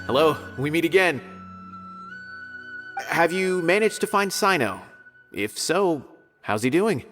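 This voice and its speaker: Friendly candid academy officer in a game, polite explainer, echoing hall, bit of music. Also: male voice, a little high, lively intonation, slow, a bit bright. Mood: polite, earnest. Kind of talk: video game dialogue acting.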